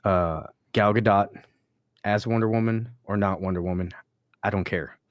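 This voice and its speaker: A man's voice: lowish voice, fairly even intonation, moderate pace, no echo. A man co-hosting a podcast in casual, joking banter, teasing and playfully bossy. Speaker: podcast co-host.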